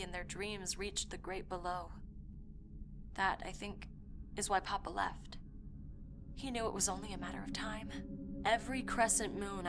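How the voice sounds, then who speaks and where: A woman playing a haunted, obsessive young woman who recounts a dark past in an intense audio drama monologue. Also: mid-range voice, natural voice. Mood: earnest, pleading. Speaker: performer reading a dramatic monologue. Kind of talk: first-person audio fiction monologue. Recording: voice over prominent music.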